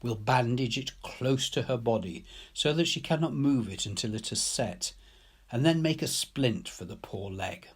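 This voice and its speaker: Man reading as a kind, husky hermit painter. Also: mid-range voice, expressive, moderate pace. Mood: kind, concerned. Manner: gentle, reassuring. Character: kind, gentle hunchbacked male recluse painter, softly authoritative.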